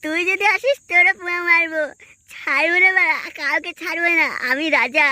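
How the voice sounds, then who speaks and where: Woman doing a very high-pitched brash cartoon village lad. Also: brisk, pushed and bright, dry recording, studio quality, exaggerated voice. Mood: boastful, pushy. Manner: repetitive, bragging, quarrelsome. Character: brash, boastful young village man, foul-mouthed and pushy. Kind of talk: comic cartoon dubbing.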